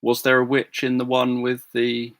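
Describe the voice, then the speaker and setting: A middle-aged man chatting casually on a livestream, answering viewers in a soft, gentle way with many fillers and restarts. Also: mid-range voice, completely flat delivery, moderate pace, dry recording. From England. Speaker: livestream host. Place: home room.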